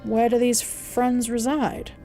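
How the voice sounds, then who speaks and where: A woman's voice: fairly high pitch, little pitch movement, slow, warm tone, soft background music, pitched above the performer's own voice. A woman voicing a kindly, courteous blind old man in an audiobook, with a Southern US accent and an earnest, reassuring, formal manner. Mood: curious. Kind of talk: audiobook character voice.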